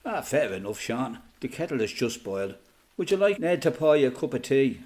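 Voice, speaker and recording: A male actor plays an older Irish father from Dublin in a radio drama. He is a plain-spoken veteran who talks like a folksy storyteller, with a medium-pitched, expressive voice that rises and dips freely. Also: brisk, lightly acted. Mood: easygoing, hospitable. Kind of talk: radio play acting, conversation and reminiscence.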